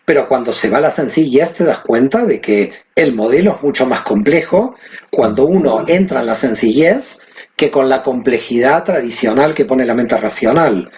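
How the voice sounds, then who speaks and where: Male guest teacher from Argentina, animated and expressive, on a muffled recording. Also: a little high. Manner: animated, rhetorical questions. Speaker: guest, Kabbalah teacher and author. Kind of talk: conversational explanation.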